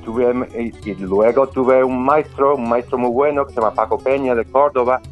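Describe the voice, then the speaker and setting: A man from Scotland, with English as his first language, speaks Spanish in a halting way, full of restarts and fillers. His voice sits at an ordinary middle pitch for a man. Soft guitar music plays quietly behind him. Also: fairly even intonation, muffled recording. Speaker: guest flamenco guitarist. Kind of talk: casual interview.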